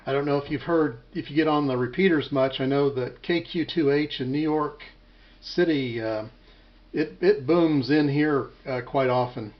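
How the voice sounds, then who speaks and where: An American man talking casually as an amateur radio operator, measured and a little hesitant, on a muffled home recording. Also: fairly high pitch.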